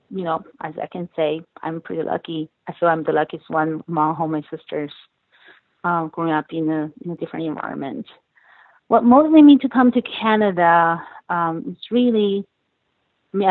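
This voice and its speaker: A woman's voice: lowish voice, dry recording. Woman on a tinny phone line. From northeast China. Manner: hesitant, many fillers and restarts. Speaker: guest, company finance chief. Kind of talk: podcast interview, casual chat.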